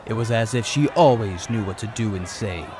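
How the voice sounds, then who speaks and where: A man's voice: fairly low pitch, moderate pace, background noise. A man narrating a scripted fantasy story in a dramatic storytelling style.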